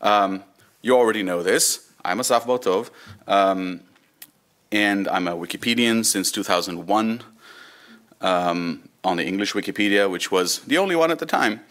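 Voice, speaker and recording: Man, thirties, Hebrew speaker, fairly low pitch, informal presenter, wry storytelling. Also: moderate pace, a bit bright. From Israel. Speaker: conference speaker, volunteer digital librarian. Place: conference room, speaking from a lectern.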